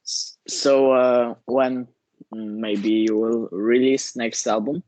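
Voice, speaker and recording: A man with a Polish accent, a non-native English speaker, interviewing a musician and asking halting questions. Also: medium pitch, fairly even intonation, a little mellow, no room sound, poor audio. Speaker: interviewer, metal fan channel host.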